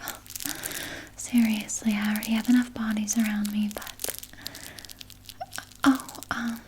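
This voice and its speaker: A woman playing a soothing, evasive vampire nurse in a soft, breathy ASMR whisper, gently coaxing and sweetly sinister. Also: on the high side, noisy background, lightly acted. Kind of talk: whispered ASMR personal attention roleplay.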